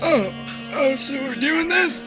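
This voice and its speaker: Washed-up old soldier, drunk and cheerfully begging male actor, unhurried folksy rambling, loud music underneath. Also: extremely high pitch, animated, heavily acted, low-quality recording. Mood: cheerful, eager. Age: older. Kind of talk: fantasy audio drama acting.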